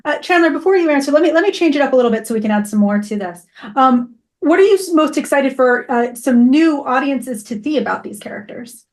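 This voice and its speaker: A woman's voice: high-pitched, expressive, a little fast, darkish tone. A woman hosting a casual interview in an informal, conversational way. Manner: informal, says y'all.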